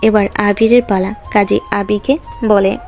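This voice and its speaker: A woman's voice: medium pitch, little pitch movement, unhurried, vocal fry, a little mellow, faint music behind. A woman reading scripted narration for a romantic story.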